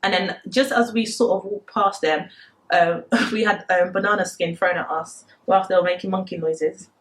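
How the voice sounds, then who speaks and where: A woman's voice: lowish voice, fairly even intonation, normal speed, rough recording. A woman in her twenties from the Democratic Republic of Congo, a native Lingala speaker, speaking hesitantly and reflectively as an interview guest. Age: 20s. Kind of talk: conversational interview, personal recollection.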